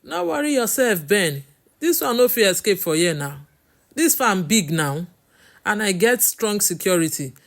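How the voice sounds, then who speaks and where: A woman's voice: medium pitch, big pitch swings, a little slow. A woman voicing a stern, bullying male farm owner in a storytelling, with a Nigerian accent and a scolding manner in Pidgin. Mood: boastful, assured.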